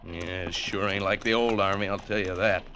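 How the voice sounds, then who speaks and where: An actor playing a boastful, nostalgic, grumbling cavalry trooper with rustic, drawling talk in an old radio drama. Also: male voice, deep voice, steady tone, brisk, sharp tone. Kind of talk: 1940s-50s radio acting. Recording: faintly noisy old radio recording.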